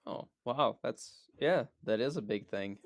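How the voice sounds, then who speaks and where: A man's voice: medium pitch, a little slow, no room sound. A man co-hosting a podcast in casual chat, playful and rambling, often restarting his sentences. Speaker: podcast co-host.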